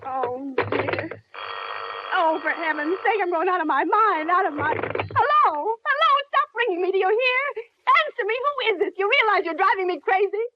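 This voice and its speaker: An actress playing a nervous, demanding wife alone at night in a 1940s radio thriller, agitated and repeating herself. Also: female voice, very high-pitched, lively intonation, normal speed. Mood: hysterical. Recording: dull, noisy vintage radio recording.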